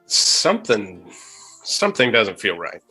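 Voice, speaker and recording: A man playing a tabletop role-playing game, speaking in a measured, in-game way. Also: lowish voice, very animated, bright, forceful tone, breathy edge, soft background music, low-quality recording.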